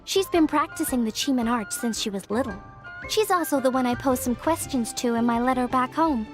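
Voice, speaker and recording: A woman's voice: high voice, lively intonation, brisk. An earnest young craftswoman. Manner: earnest, explanatory, slightly modest. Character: young woman craftswoman from a fortune-telling clan, earnest. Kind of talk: video game voice acting. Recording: game cutscene with faint music.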